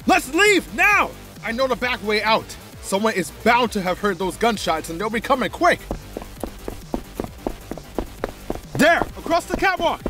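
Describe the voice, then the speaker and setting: A man acting a cornered, anxious informant in an audio roleplay, pleading and explaining tactics urgently in a husky voice. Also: very high voice, sing-song, moderate pace. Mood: panicked, urgent. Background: music.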